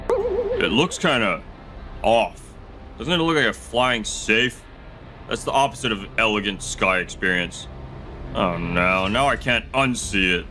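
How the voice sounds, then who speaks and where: A man's voice: on the low side, wildly swooping pitch, a little slow, pauses often. A man playing an arrogant, petulant rich heir in a manga dub, brash and dismissive, with music under the voice. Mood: disdainful. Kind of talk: dramatic manga dub voice acting.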